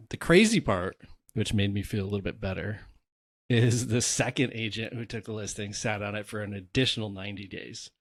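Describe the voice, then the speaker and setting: Low-voiced man hosting a podcast. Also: no room sound, studio quality. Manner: conversational, storytelling with asides. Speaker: podcast host, realtor. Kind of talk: casual podcast conversation.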